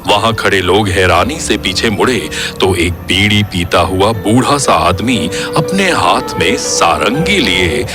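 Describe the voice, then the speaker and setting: Low, slow male story narrator. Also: expressive, music in the background.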